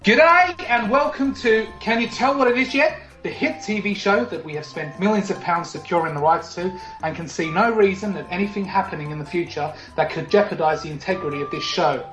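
A man's voice: high-pitched, a little fast, few pauses, lightly acted. Man putting on a blustering Aussie quiz host, put-on Australian accent, cocky showman, roomy echo, faint music behind. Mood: showy. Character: brash Australian male game show host. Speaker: podcast host. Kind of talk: comedic game show parody.

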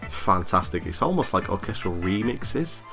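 Podcast host guy, deep-ish voice, bit of a cold, blunt and sweary, faint music behind. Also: sing-song, normal speed, gravelly, pushed and bright. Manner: frank, swears casually. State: has a cold. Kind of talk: casual podcast chat.

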